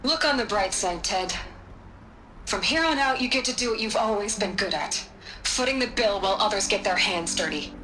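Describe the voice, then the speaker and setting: An actress playing a commanding, uncompromising female scientist in a video game, cold, deliberate and cutting. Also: mid-range voice, animated, bright, forceful tone, a little hiss or hum, rough recording. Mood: bitterly dry. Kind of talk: dramatic video game acting.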